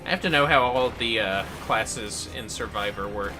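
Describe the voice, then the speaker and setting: A man's voice: lowish voice, normal speed. A man giving casual gaming commentary as a livestream host, muttering rambling asides to himself. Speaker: livestream host playing a game. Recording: music behind the voice.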